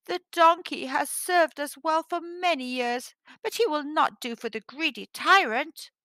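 A woman plays a poor village father in a folktale, humble and anxious. The voice is high and bright, with a respectful, pleading manner. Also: animated, unhurried, no room sound, full character voice. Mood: sad, worried. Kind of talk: storybook character voice.

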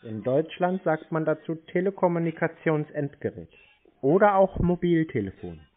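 A man in a casual phone conversation, speaking in a deadpan, naively stubborn manner. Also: on the high side, moderate pace, narrow, tinny audio. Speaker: host and prank call recipient.

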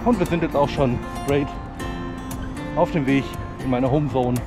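Brief: man in his thirties, frank and chatty vlogger narrating a walk outdoors, with loud music and traffic behind him. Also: medium pitch, moderate pace. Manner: chatty, frank, with fillers and regional slang. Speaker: vlogger, urban hiking guide. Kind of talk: casual walking vlog commentary. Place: outdoors on city streets and parks. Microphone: chest-mounted action camera.

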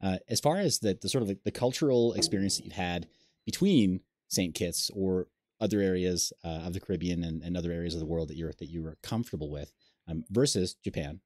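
A man in his thirties hosts an interview over a webcam call. His voice sits low and sounds dry and close. He hedges and restarts his questions as he goes. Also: a little fast, a little mellow, no echo. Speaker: host. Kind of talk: casual video call interview. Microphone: webcam on a video call.

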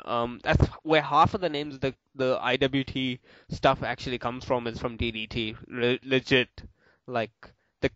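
A man's voice: medium pitch, fairly bright tone, no echo. A young man from India hosting a casual Q and A, rambling with many fillers and restarts. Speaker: host of a Q and A. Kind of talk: casual chat. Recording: dull lo-fi home audio.

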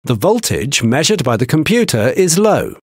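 A man giving scripted technical narration for a training video, with a measured, explanatory delivery. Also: medium pitch, sing-song, breathy edge, dry recording, clean studio sound.

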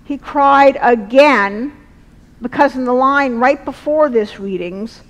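A middle-aged woman delivers a sermon at a church lectern in slow, weighty phrases. Her voice sits fairly high, and a long church reverb trails behind every line. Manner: measured, earnest, slow deliberate phrasing. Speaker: preacher. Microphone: lectern mic, far camera.

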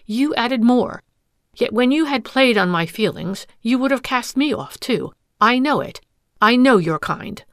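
A woman's voice: mid-range voice, animated, moderate pace, no echo, studio quality, voice pushed up, lightly acted. A woman voicing a scornful wronged wife. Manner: scornful, passionate, breaking into sobs.

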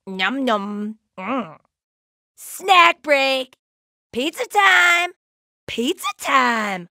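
Brash young female cartoon game fighter, cheeky, slangy, laid-back, very animated intonation, unhurried drawn-out delivery. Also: high voice, no echo, studio quality, exaggerated voice. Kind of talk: cartoon video game voice acting, short barks.

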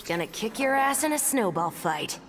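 A woman's voice: a little high, big pitch swings, normal speed. An actress playing a cocky young female soldier in a fighting game, with an American accent and a casual, punchy delivery. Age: young adult. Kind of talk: video game fighter banter. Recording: game ambience noise behind.

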